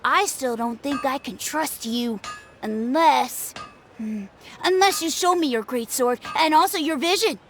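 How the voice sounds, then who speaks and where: A woman's voice: high-pitched, lively intonation, unhurried, a little hiss or hum. A woman voicing a boastful, suspicious little boy who talks in a pompous, blustering way in a video game.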